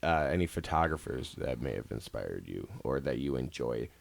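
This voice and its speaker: Very low-voiced American male podcast host. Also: lively intonation, moderate pace, gravelly. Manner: informal, slangy, interjecting. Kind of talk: casual studio interview.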